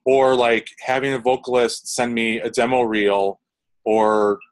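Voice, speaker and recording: A man's voice: mid-range voice, fairly even intonation, measured pace, poor audio. Rambling young man with frequent restarts. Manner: rambling, many restarts and fillers. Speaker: composer interviewee. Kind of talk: casual interview answers.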